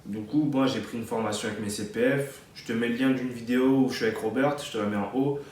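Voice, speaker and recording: A man's voice: medium pitch, fairly even intonation, quick pace, darkish tone, heavy reverb, far from the mic, slight background noise. A man in his late twenties from France, giving casual advice in a chatty vlog, with many fillers and restarts. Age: 20s. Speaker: vlogger.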